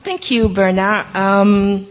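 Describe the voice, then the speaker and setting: A woman from Haiti speaking off the cuff on a conference panel, animated and quick, with restarts. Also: medium pitch. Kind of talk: unscripted panel remarks. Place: conference hall.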